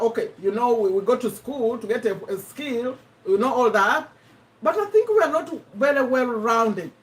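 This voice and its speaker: A woman with a Ugandan accent hosting a casual live stream, chatting to viewers in an animated way and repeating herself for emphasis. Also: high voice. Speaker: host of a live personal development discussion. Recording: voice somewhat far from the mic.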